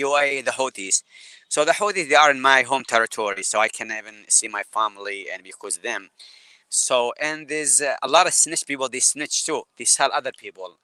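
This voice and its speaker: A man from Yemen with a Yemeni Arabic accent, speaking as a guest photographer on a live video call, halting and repeating words as he talks. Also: medium pitch, bright, forceful tone, clean tone, no echo, rough recording.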